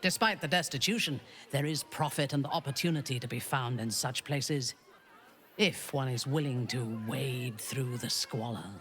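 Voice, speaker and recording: A woman's voice: a bass voice, normal speed, fairly bright tone. A woman playing a cold, haughty, ruthless drow warrior in a video game, speaking in an imperious, clipped manner. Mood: disdainful. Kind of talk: video game character dialogue.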